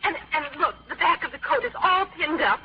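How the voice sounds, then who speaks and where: A woman's voice: high-pitched, animated, a little fast. A woman playing an anxious, overprotective mother with a fretful, melodramatic manner in a vintage radio drama. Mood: scandalized. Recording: dull, lo-fi vintage radio recording.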